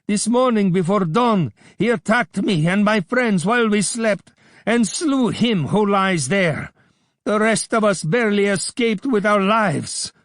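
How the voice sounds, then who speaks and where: A man's voice: sits high, animated, unhurried, dry recording, clean studio sound, pitched above the performer's own voice. A man voicing a frantic, hateful male villain who screeches his accusations. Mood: screeching accusation.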